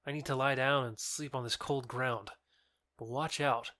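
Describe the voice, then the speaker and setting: A man's voice: mid-range voice, fairly even intonation, moderate pace, bright, forceful tone, no echo, clean studio sound, lightly acted. A man playing a belligerent young lover challenging his rival, in theatrical, indignant audio drama style. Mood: exhausted, threatening. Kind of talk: audio drama acting of modernized Shakespeare.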